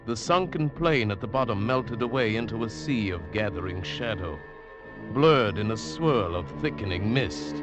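An actor playing an uneasy traveller who narrates an eerie tale in a dramatic 1940s radio drama style. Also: male voice, fairly low pitch, expressive, normal speed, close to an everyday voice. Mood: haunted, wondering. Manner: dramatic, hushed swelling to agitated. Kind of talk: 1940s radio drama acting, first-person scripted narration. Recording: old radio broadcast with music.

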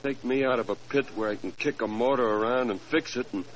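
An actor playing a sly, servile race-track mechanic who talks in uneducated slang, in 1940s radio drama style. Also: male voice, mid-range voice, fairly quick, natural voice, poor audio. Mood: sly, insinuating. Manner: uneducated slangy talk with double negatives.

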